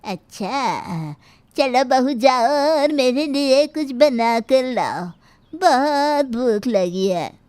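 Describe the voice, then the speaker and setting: A woman playing a sharp-tongued old grandmother-in-law in a moral story, pointed and reproachful. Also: sits high, lively intonation, drawn-out words, clean tone, dry recording, heavily acted. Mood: exasperated. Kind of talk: scripted Hindi moral story acting.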